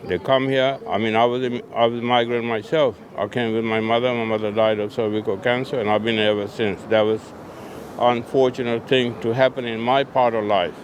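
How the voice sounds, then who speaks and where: A man's voice: medium pitch, fairly even intonation, fairly quick. An older man from Pakistan answering a street interviewer bluntly, complaining about his area. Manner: blunt, complaining, simple phrasing. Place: outdoors on a city street. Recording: street noise in background.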